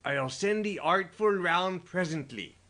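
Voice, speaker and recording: A woman's voice: on the low side, expressive, a little slow, a bit bright. A woman voicing a sly, submissive old male fence who coaxes and pleads. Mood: protesting. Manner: coaxing, pleading. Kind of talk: audiobook character voice.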